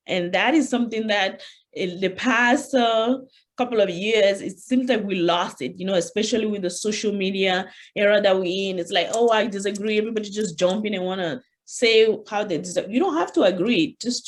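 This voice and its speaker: A woman with a French accent presenting an interactive online leadership workshop, speaking conversationally and often saying "you know", standing at her computer on a video call. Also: mid-range voice.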